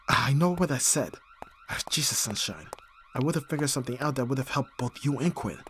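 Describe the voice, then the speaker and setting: A man's voice: mid-range voice, lively intonation, moderate pace, a bit bright, slight background noise, lightly acted. A man playing an abrasive but caring bodyguard in an audio roleplay, speaking with exasperated urgency and sighs. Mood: exasperated, urgent. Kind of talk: ASMR audio roleplay acting.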